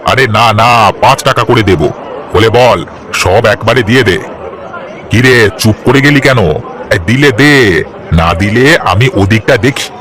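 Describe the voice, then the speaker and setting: A man's voice: mid-range voice, moderate pace, pauses often, a bit bright, some chatter behind, lightly acted. A man voicing a pushy, brusque, haggling market customer in a cartoon. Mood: brusque, bargaining. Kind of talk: cartoon voice acting.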